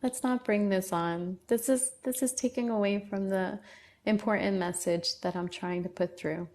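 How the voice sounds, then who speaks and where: A woman's voice: mid-range voice, steady tone, moderate pace, darkish tone. A woman hosting a casual livestream, reading out viewer comments and answering them one by one. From Lebanon. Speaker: livestream host.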